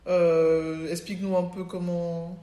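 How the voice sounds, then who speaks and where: A woman's voice: lowish voice, level tone, slow pace, reverberant. A woman hosting a podcast, enthusiastic and chatty, with plenty of fillers. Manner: enthusiastic, warm reactions and fillers. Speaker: podcast host.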